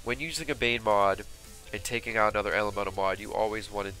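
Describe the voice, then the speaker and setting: A man with a stuffed-up cold, giving relaxed, chatty commentary over game footage for a weapon build video. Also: lowish voice, soft background music, rough recording. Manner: relaxed, chatty, with fillers and quick asides. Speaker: gaming YouTuber. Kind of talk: casual gameplay commentary.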